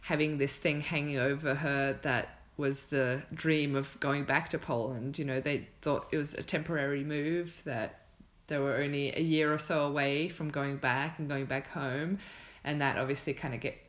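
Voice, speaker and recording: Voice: mid-range voice, steady tone, moderate pace, few pauses, a bit bright. Casual, reflective podcast host. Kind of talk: casual conversational podcast talk.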